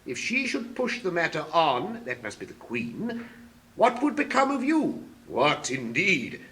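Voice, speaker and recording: A woman's voice: fairly low pitch, wildly swooping pitch, a little slow, a bit bright, exaggerated voice. A woman voicing a muddled, self-important old King in a storybook reading, with pompous, flustered manner and sudden shifts from barked orders to muttering. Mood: musing.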